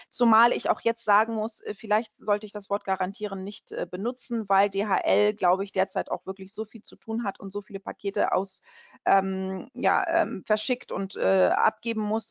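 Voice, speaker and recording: A woman's voice: medium pitch, no echo. Woman webinar host, friendly chatty delivery, slightly muffled sound, dry close mic. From Portugal. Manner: friendly, chatty, many fillers and restarts. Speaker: webinar host, company country manager. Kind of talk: webinar introduction over slides.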